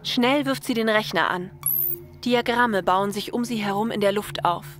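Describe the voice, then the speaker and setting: Woman, story narrator, scripted reading, mid-range pitch, faint background music. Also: moderate pace.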